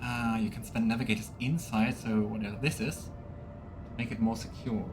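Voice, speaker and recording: A man's voice: on the low side, fairly even intonation, moderate pace. Casual male game streamer with music behind. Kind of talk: casual let's play commentary.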